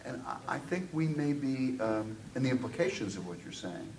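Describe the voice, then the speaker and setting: A man in the audience of a seminar, commenting in a discursive, deliberate way with many asides. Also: mid-range voice, sing-song, a little mellow. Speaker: audience member, college tutor. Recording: distant mic, noisy room.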